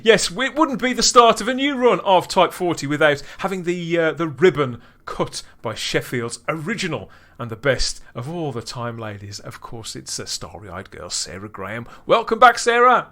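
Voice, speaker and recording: A man hosting a podcast, chatting casually and delivering upbeat, theatrical links with quick laughs. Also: fairly high pitch, very animated, pushed and bright. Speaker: podcast host.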